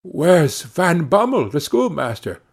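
A man's voice: fairly high pitch, very animated, takes it slowly, creaky, husky, pitched above the performer's own voice, heavily acted. A man voicing a humble, bewildered old man in a storybook, hesitant and plaintive. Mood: puzzled.

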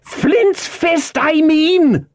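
A man's voice: mid-range voice, very animated, slow pace, a bit bright, pitched above the performer's own voice, full character voice. A man voicing a savage old blind pirate leader in an audiobook, roaring and cursing commands. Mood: enraged. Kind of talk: audiobook character voice.

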